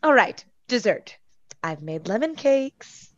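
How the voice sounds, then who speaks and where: A woman's voice: a little high, big pitch swings, slow. Fond, fussing mother, slightly muffled. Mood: cheerful. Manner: affectionate, mildly scolding. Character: fond, fussing middle-aged wife and mother. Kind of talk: radio play acting.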